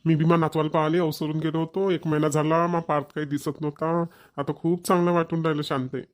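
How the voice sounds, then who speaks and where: An actor plays a fond village grandfather in a homely family cartoon. He talks quickly and plainly at a middling pitch, with a Varhadi Marathi accent from Vidarbha. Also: little pitch movement, lightly acted. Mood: fond, content.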